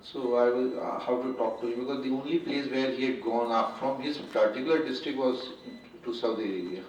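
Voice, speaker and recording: An Indian man whose first language is Urdu gives an unscripted formal address at a symposium. He speaks in a halting way and often restarts and corrects himself. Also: medium pitch, fairly even intonation, darkish tone, slight background noise. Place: large lecture hall. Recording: echoey hall, distant, muffled.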